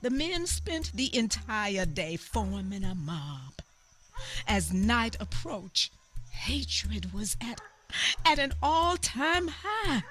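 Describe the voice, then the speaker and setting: A woman playing a grieving eyewitness in a radio theater monologue, telling her story haltingly and repeating phrases for emphasis. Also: fairly high pitch, very animated, fairly bright tone, faint music behind, full character voice. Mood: grave, ominous. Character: grieving female eyewitness recounting a mob lynching in anguish.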